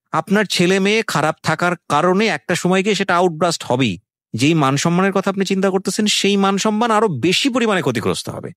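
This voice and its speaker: A man's voice: fairly high pitch, no room sound, clean studio sound. A middle-aged Bangladeshi man hosting an interview, speaking earnestly and with opinions, mixing in English phrases as he reflects. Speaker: show host.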